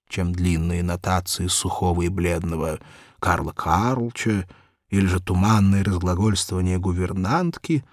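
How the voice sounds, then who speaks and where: A man reads a children's literary audiobook with theatrical, measured delivery. His voice is low and husky, with lively swings of pitch, recorded very dry. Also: dry recording. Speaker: narrator.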